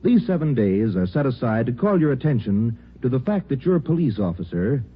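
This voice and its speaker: A man's voice: medium pitch, very animated, fairly quick, slightly rough. A man reading scripted sponsor copy as a 1950s radio announcer, polished and emphatic. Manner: polished, emphatic salesmanlike delivery. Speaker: commercial announcer. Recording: very muffled old radio recording.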